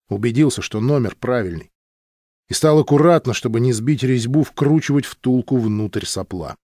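A man narrating an audiobook from a script in measured, clearly enunciated phrases. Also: mid-range voice, warm tone, dry recording, clean studio sound.

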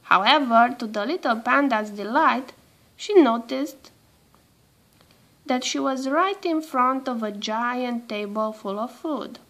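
A woman reading a children's storybook aloud in an expressive, measured storytelling manner. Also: a little high. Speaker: storybook reader.

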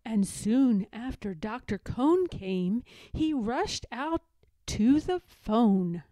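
A woman reading a children's picture book aloud in a deliberate, expressive storytelling manner. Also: a little high, dry recording. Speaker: storybook reader.

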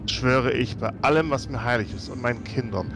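A man's voice: mid-range voice, fairly quick. A man talking casually as a player in a tabletop role-playing game. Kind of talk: casual in-character game chat. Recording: music bed under voice.

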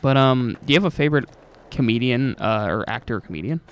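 Fast-talking young man chatting over gameplay. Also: mid-range voice, animated. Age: young adult. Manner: informal, swearing, slangy. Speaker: gaming video host. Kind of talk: casual chat over gameplay.

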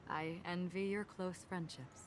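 An actress voicing a solemn, composed woman in a video game, speaking in a formal, measured way. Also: fairly low pitch, steady tone, slight background noise, close to an everyday voice. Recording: game music behind the voice.

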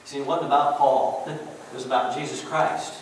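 A man's voice: mid-range voice, little pitch movement, moderate pace. A middle-aged man preaching a sermon in a church sanctuary, in a folksy, conversational way with frequent "you know"s. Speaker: pastor. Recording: very echoey church room, distant mic, faint hiss.

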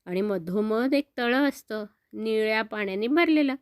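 A woman's voice: a little high, expressive, unhurried, dry recording, studio quality. An older woman telling a story to children in a simple, grandmotherly way, often repeating words.

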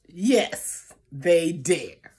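A woman's voice: on the low side, lively intonation, extremely slow, a little mellow, husky. An older woman reading a picture book aloud to children, theatrically drawing out phrases and adding asides to the listeners. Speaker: storybook reader. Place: small room at home, indoors.

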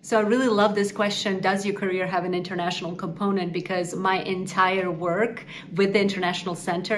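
A woman's voice: on the low side, a little hiss or hum. A woman, speaking earnestly and articulately to camera in a prepared interview. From Ukraine. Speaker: nonprofit executive. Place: indoor office against a wall.

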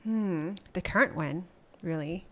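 A woman in her thirties from Malaysia, a startup founder answering interview questions reflectively with many pauses and restarts, speaking into a desk podcast microphone in a small studio. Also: fairly low pitch, fairly bright tone. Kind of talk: conversational interview. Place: small studio with wooden wall.

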